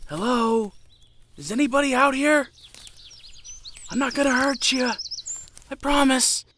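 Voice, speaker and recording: A man's voice: very high voice, unhurried, noisy background. A man playing an earnest, hesitant young knight recruit in an audio drama. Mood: eager, calling out. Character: eager, self-doubting young male knight recruit.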